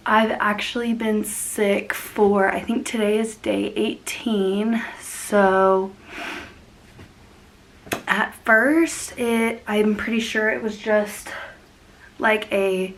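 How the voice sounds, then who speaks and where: A woman's voice: medium pitch, fairly even intonation, very slow, a bit bright, a little hiss or hum. A young woman hosting a casual plant vlog, sniffly and congested from being sick, rambling and laughing often as she talks. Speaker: plant channel host.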